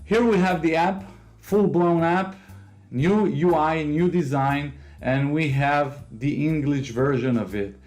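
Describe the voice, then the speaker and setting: A man from Israel whose first language is Hebrew, speaking English with an Israeli accent as he explains a software app step by step in a tutorial. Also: a little high, slow, soft background music. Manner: explains step by step, non-native phrasing, occasional fillers. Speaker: host of a software tutorial channel. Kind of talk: screen-recorded software walkthrough.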